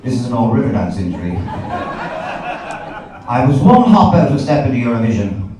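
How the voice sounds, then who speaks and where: Irish stand-up comic in an echoey room. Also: male voice, medium pitch, very animated, moderate pace, mellow. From Ireland. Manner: conversational storytelling, sweary. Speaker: stand-up comedian. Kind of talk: live stand-up comedy routine. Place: indoor live comedy gig. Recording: echoey, noisy live club recording from a distance.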